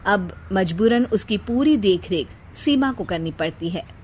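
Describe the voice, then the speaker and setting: A woman's voice: medium pitch, animated, a little slow, darkish tone, a little hiss or hum. A woman narrating a scripted moral story as a storyteller.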